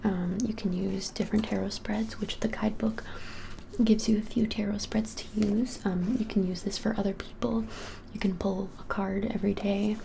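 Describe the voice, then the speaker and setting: A woman in her twenties whispering softly and gently in an unhurried ASMR shop roleplay. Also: medium pitch, flat delivery, slight background noise. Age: 20s. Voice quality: soft, breathy whisper. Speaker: ASMR creator playing a witch shop keeper. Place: small indoor room, plain wall.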